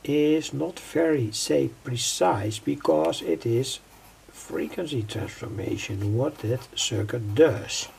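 Older guy doing a hobby tutorial, really slow and deliberate, repeats himself, some background noise at his workbench. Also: mid-range voice, a little mellow. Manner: halting, deliberate, repeating phrases. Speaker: electronics hobbyist presenter. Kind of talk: unscripted hands-on tutorial explanation. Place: indoors at a home workbench. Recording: clearly noisy home recording.

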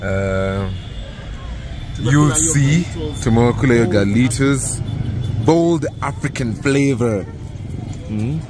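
Male vlogger, Kenyan accent, mid-range voice, halting and informal local-guide delivery, recorded amid very loud traffic noise. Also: mid-range voice. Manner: halting, informal, mixes in Swahili phrases. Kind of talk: casual unscripted vlog commentary.

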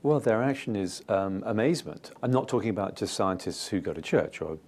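A British man in his fifties explaining as an interview guest, enthusiastic and emphatic, with halting phrasing. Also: lowish voice, lively intonation, a little mellow. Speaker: interview guest, scientist and author. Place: indoor TV-style studio.

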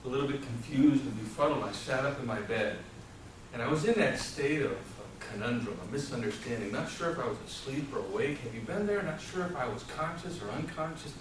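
A middle-aged man giving a theatrical tall-tale speech to a live audience, dramatic and deliberate, with pauses and repetition. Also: mid-range voice, lively intonation. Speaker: storytelling contestant at a public speaking club. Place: small meeting room with whiteboard and audience, indoors. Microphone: far camera microphone. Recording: roomy echo, distant mic, clearly noisy.

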